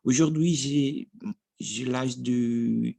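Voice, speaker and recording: A man's voice: medium pitch, very slow, vocal fry, mellow, no room sound. A man in his thirties from Brazil, speaking a learned language with a Brazilian Portuguese accent, hesitant and restarting often as he practices. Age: 30s. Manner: hesitant, searching for words, frequent restarts. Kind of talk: casual practice monologue.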